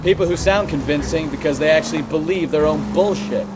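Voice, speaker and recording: An actor playing a cynical, wry police detective in conversational video game dialogue. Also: male voice, fairly high pitch, brisk, noisy background, close to an everyday voice.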